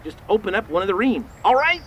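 A bossy outlaw leader from a western radio play, acted by a man. His voice is bright and forceful and jumps about in pitch. He is curt and suspicious, over some background noise. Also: very animated, fast, muffled recording. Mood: impatient, suspicious. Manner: curt, commanding. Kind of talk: western radio drama acting.

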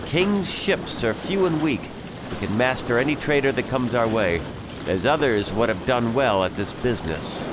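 An actor playing a commanding, calculating pirate captain, declaiming orders and speeches in a radio drama. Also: male voice, medium pitch, expressive, moderate pace, background noise, close to an everyday voice. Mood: rousing, resolute.

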